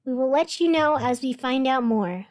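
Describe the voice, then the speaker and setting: A woman playing a whimsical, musing radio host of a strange city in a scripted fiction podcast, with dramatic pauses. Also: sits high, expressive, a little mellow. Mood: cautious, announcing. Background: music behind the voice.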